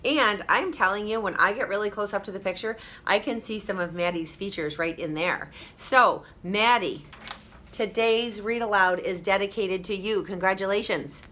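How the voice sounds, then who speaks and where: A woman's voice: mid-range voice, moderate pace, bright, forceful tone. A woman teacher reading a children's story aloud expressively, with emphasis, addressing young listeners directly.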